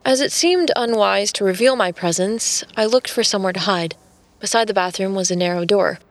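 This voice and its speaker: A woman's voice: mid-range voice, a little fast, natural voice. An actress playing a wry, curious American woman, telling her story as conversational first-person audio drama narration. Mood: wry, musing. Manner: wry, musing.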